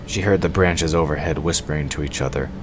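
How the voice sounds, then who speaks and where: A man's voice: very deep voice, fairly quick, over loud music, poor audio. A man reading scripted narration for a fantasy audio drama. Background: forest ambience sound effects.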